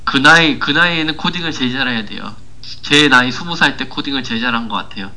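A man's voice: medium pitch, fast, sharp tone, rough recording. A man co-hosting a casual talk show with teasing, joking banter. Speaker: podcast co-host.

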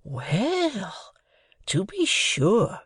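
A reader voicing a mild, apologetic man who hints politely in slow, halting words in an audiobook. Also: mid-range voice, big pitch swings, slightly rough, dry recording, studio quality, voice pushed up, full character voice. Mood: hesitant. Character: meek, mild-mannered man, hesitant and apologetic. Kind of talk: audiobook character voice.

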